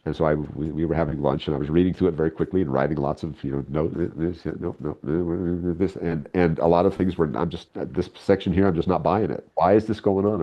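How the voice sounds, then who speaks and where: Very deep-voiced man, emphatic explainer. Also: muffled recording. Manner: emphatic, explanatory, acts out imagined dialogue. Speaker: interview guest, writer and audio drama creator. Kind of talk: conversational interview talk on writing craft.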